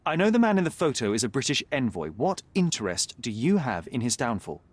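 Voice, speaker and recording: A man voicing a confident young male detective in a video game, calm and precise. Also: mid-range voice, fairly quick, dry recording. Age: young adult. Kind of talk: video game cutscene dialogue.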